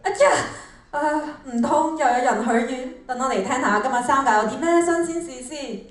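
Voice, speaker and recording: A woman's voice: high-pitched, brisk, recorded from a distance, natural voice. Echoey, playful teenage girl as a whimsical god. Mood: amused. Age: teens. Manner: teasing, amused. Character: playful, whimsical god who grants wishes. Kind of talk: stage radio drama acting. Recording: echoey hall, off-mic.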